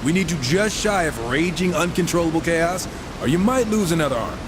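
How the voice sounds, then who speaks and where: A man's voice: fairly high pitch, lively intonation, brisk, background noise, lightly acted. An actor playing a wisecracking, irreverent horseman of the apocalypse in a game, with sarcastic, casual banter. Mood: candid. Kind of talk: video game cutscene acting.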